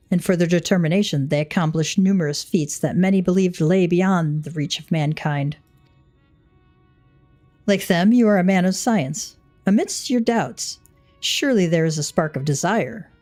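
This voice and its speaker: A woman voicing a wise, composed mentor in a video game, with measured, lofty, old-fashioned phrasing. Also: on the low side, a little mellow, natural voice. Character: wise, composed, archaic-spoken encouraging mentor.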